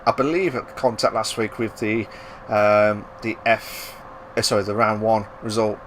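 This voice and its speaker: A man giving live racing commentary, excitable and bursting into loud exclamations at the action. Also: a little high, a little hiss or hum. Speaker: race commentator.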